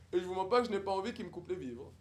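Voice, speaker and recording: A young man livestreaming, putting on a haughty, snobbish young posh man who mocks strangers in affected formal speech. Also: high voice. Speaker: livestreamer on a video chat app. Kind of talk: improvised character roleplay with strangers.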